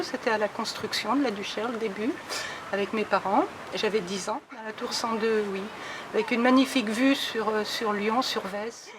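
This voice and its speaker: A woman's voice: fairly high pitch, background noise. A woman in her seventies, a resident, recounting her youth in a conversational way with fillers. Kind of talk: recorded testimony.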